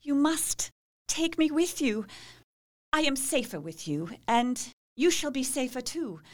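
An actress playing a haunted young wife, intense and measured, pleading with resolve. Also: female voice, high voice, lively intonation, a little mellow. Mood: resolute. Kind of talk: audio drama acting.

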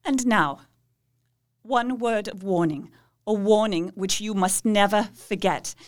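A woman playing Mina, a devoted young wife, speaking with slow, solemn, pleading resolve in a radio play. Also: mid-range voice, lightly acted. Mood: urgent.

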